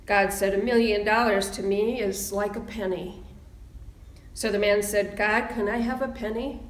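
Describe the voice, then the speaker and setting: A woman with a middle-pitched voice speaks as a church minister, giving a welcome and readings. The recording has a clearly roomy echo around her words. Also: steady tone, moderate pace. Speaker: minister welcoming an online congregation.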